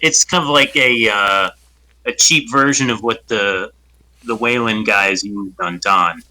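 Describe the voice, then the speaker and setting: A man's voice: fairly low pitch, a little slow, sharp tone, rough recording. A man chatting casually as a player at a tabletop role-playing game.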